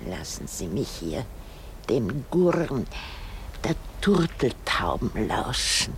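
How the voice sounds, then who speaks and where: A woman's voice: a bass voice, big pitch swings, slow, a little hiss or hum, full character voice. An actress in a radio drama playing a weary, querulous old married woman, dramatic, self-pitying and given to exclamations.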